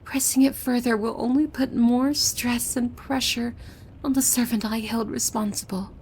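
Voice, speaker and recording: A woman playing a possessive demon maid in an ASMR roleplay, devoted and softly menacing, with hushed formal speech that often slips into breathy whispers. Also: fairly high pitch, fairly even intonation, moderate pace, slight background noise, lightly acted. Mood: calmly deflecting.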